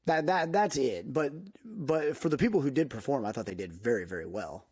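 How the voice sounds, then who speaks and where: A man's voice: mid-range voice, lively intonation, brisk, darkish tone, breathy edge, dry recording, studio quality. A young man hosting a casual podcast chat, rambling with lots of fillers and restarts. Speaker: podcast host.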